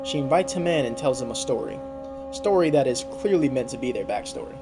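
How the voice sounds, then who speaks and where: A man's voice: medium pitch, lively intonation, a little fast. A young man doing casual recap commentary on a story, slangy and given to incredulous asides. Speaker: video commentator. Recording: loud music bed under voice. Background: loud background music.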